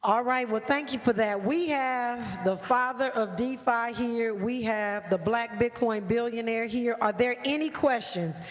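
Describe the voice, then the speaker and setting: A woman moderating a conference panel, brisk and organized as she opens the floor for questions. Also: on the high side. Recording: reverberant hall.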